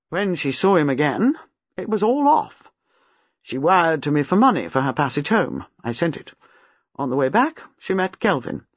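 A narrator voicing a reserved older doctor who speaks in measured, hesitant phrases. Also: mid-range voice, dry recording. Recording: dull lo-fi dry recording.

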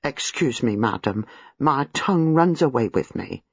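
Man voicing a nervous, chatty older servant woman, husky. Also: medium pitch, expressive, a little slow, no echo, muffled recording, heavily acted. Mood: flustered, reluctant. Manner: hesitant, deferential, rambling with rustic turns of phrase. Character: nervous, apologetic older female former servant, chatty and proper. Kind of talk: audiobook character dialogue.

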